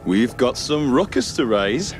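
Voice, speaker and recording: An actor voicing an erudite, theatrical talking brain in a video game, with a pompous, florid, genteel manner. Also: male voice, mid-range voice, animated, unhurried, background noise, close to an everyday voice. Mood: pompous, smug. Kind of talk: video game character voice acting.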